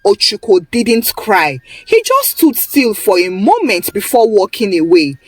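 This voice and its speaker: A woman's voice: medium pitch, big pitch swings, a little slow, no room sound. A woman with a Nigerian accent narrating a scripted story in a dramatic storytelling style.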